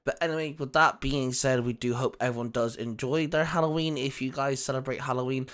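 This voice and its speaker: A man's voice: mid-range voice, steady tone, brisk, a bit bright. Rambling man doing a channel outro. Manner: rambling, friendly, many fillers. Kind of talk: casual channel plug and outro.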